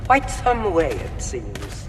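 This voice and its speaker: An actor playing a stern, impatient soldier leader in a sci-fi audio drama, commanding and curt, in a reverberant tunnel. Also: male voice, a little high, huge pitch swings, takes it slowly, music in the background, heavily acted. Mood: wary.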